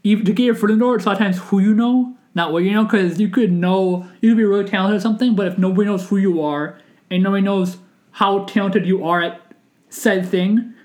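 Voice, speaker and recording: A young man in his twenties with a notably high voice, hosting a casual podcast chat. He talks earnestly and rambles, doubling back and restarting his sentences as he goes. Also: fairly even intonation, quick pace, a little mellow. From Wisconsin, United States. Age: 20s. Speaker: podcast host.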